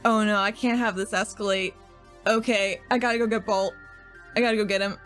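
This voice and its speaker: A woman's voice: mid-range voice, fairly even intonation, brisk, a bit bright, faint music behind. Laughing woman playing a tabletop game. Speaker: player.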